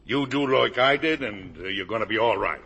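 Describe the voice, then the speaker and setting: A man's voice: fairly low pitch, animated, brisk, vocal fry, sharp tone, slightly rough, full character voice. An actor playing a blustering, defensive middle-aged American factory owner and father in emphatic 1950s radio drama style. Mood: proud, insistent. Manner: emphatic, colloquial, insistent. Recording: old radio drama broadcast.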